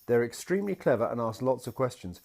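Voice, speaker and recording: A man voicing a gentle, patient father in a picture-book story, reassuring his small daughter as he explains things. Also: mid-range voice, sing-song, quick pace, vocal fry, voice pushed up.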